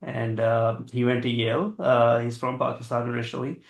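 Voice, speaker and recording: A man's voice: medium pitch, level tone, brisk, gravelly, muffled recording. A man moderating a fireside chat, friendly and casual with fillers. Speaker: moderator, interviewer. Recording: echoey room.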